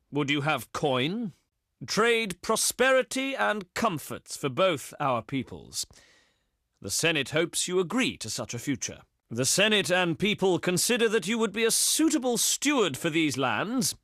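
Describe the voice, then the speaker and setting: An actor playing a dignified, shrewd Roman envoy, delivering formal diplomatic oratory for a video game. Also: male voice, fairly high pitch, fairly bright tone, no echo, studio quality. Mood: curt, haughty.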